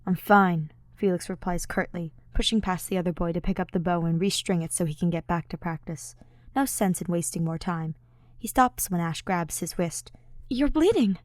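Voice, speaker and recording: A woman's voice: lowish voice, fairly even intonation, brisk, no room sound. Woman narrating fiction in a dry space. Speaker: audiobook narrator.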